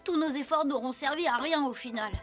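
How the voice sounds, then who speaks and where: A woman voicing a small, excitable boy who plays peacemaker in a cartoon, with expressive delivery. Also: high voice, brisk, music in the background, natural voice. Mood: dejected. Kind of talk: animated series dub.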